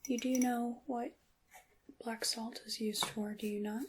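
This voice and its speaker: Hushed witch, softly acted by a woman, noisy recording. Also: a little high, level tone, lightly acted. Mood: attentive, instructive. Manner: unhurried, hushed, formal and deliberate. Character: soft-spoken mysterious witch shopkeeper, polite and secretive. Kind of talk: ASMR roleplay, whispered and softly spoken.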